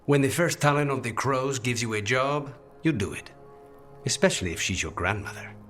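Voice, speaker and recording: An actor playing a weary, dry, demon-possessed assassin in a video game, low and breathy, speaking in a measured, understated way. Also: male voice, lively intonation, fairly bright tone, faint music behind, close to an everyday voice. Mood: grim, vengeful. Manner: measured, understated, dryly menacing. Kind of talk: video game dialogue acting.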